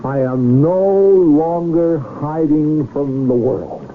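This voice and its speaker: An actor playing an earnest, somewhat self-important rich man in a 1970s radio drama, with a halting way of speaking. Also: on the high side, slight background noise. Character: hesitant, earnest rich man about to be king. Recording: thin, tinny old radio recording.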